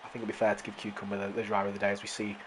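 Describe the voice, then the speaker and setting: Hoarse, excitable race commentator. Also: low voice, racing, background noise. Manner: excitable, builds up during close battles; talks to viewers as "guys". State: hoarse, losing voice. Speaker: sim racing commentator.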